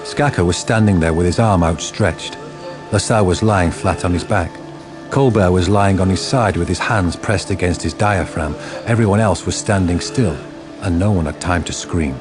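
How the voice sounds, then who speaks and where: A deadpan male storyteller voiced by an actor for a radio crime drama. His voice is deep and his phrasing measured. Music runs clearly underneath the narration. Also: close to an everyday voice. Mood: grave.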